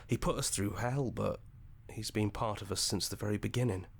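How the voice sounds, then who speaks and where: A man voicing an earnest, soft-spoken young hero in a video game, thoughtful and loyal. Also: on the low side, a little fast, creaky, a little hiss or hum. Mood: wistful. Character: earnest heroic young man, gentle inner voice and loyal companion. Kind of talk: visual novel game voice acting.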